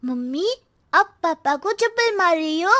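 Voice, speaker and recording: A woman voicing a lazy, cheeky schoolboy who drawls back-talk in an animated cartoon story. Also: very high-pitched, measured pace, no room sound, studio quality, voice pushed up, exaggerated voice.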